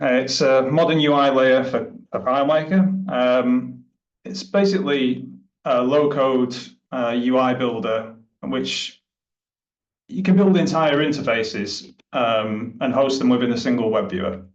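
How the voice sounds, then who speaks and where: Hesitant British man on a distant call mic. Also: medium pitch. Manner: hesitant, many fillers and restarts. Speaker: presenting developer. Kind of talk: meetup presentation over video call. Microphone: video call. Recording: distant, roomy, dull sound.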